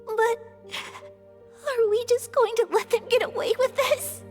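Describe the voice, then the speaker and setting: A woman's voice: very high-pitched, steady tone, a little slow, darkish tone. A woman voicing a sweet, vulnerable young daughter in a dramatic video game scene. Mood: frightened, grieving. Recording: long reverb, music underneath. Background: music.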